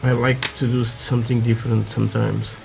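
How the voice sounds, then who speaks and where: A man from Portugal with a Portuguese accent, chatting haltingly through a hobby tutorial with many fillers, while building at a workbench. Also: mid-range voice, flat delivery, vocal fry, over music, poor audio. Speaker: scale model builder. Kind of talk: casual hobby tutorial talk. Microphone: camera over work desk, hands only.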